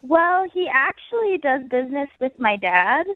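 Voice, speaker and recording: A woman's voice: high voice, very animated, normal speed, dry recording. Woman caller on a tinny phone line. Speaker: radio show caller. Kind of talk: casual chat. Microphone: phone call. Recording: muffled narrow phone line.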